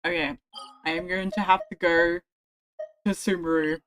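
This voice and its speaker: A woman's voice: fairly low pitch, level tone, moderate pace, background noise. A woman livestreaming a video game, commenting casually to herself in grumbling, muttered asides. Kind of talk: casual live gameplay commentary, talking to self. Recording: game music behind the voice. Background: video game music.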